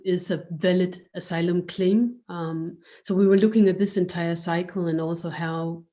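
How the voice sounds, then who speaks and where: A woman's voice: fairly low pitch, fairly even intonation, moderate pace, mellow. A woman giving reflective interview answers as a curator, hesitant and searching for words. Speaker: curator and art space director, guest.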